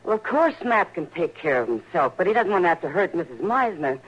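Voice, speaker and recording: A woman's voice: on the low side, sing-song, brisk, breathy edge. An actress playing a frank, concerned frontier woman, pleading earnestly in an old-time radio western. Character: Kitty Russell, frank, concerned, plain-spoken frontier woman. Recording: dull, noisy old radio recording.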